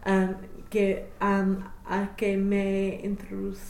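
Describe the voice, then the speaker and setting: A woman's voice: medium pitch, monotone, very slow, creaky, a little mellow, reverberant, slight background noise. A young woman, a native English speaker, answering interview questions as a language learner, hesitant with many fillers and restarts. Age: young adult.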